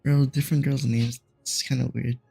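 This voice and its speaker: A casual game streamer with a dark, mellow tone and noticeable vocal fry. The delivery is laid-back, in short offhand remarks, with faint music behind. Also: mid-range voice, little pitch movement, moderate pace, low-quality recording. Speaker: livestreamer. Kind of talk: casual gaming stream chat.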